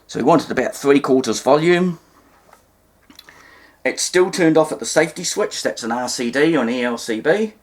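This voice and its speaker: A speaker with a New Zealand accent explains a hands-on demonstration, hesitantly thinking aloud and carefully spelling things out. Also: mid-range voice. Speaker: presenter of a vintage radio repair demonstration. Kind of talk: unscripted hands-on demonstration talk. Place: indoors, at a table in a room.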